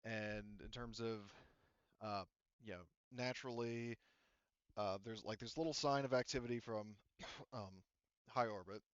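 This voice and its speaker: Smooth-voiced man running a tabletop game. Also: fairly low pitch, measured pace, long pauses, fairly bright tone, no echo, lo-fi sound. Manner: rambling, filler-heavy explaining. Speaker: game master. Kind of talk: casual tabletop game narration.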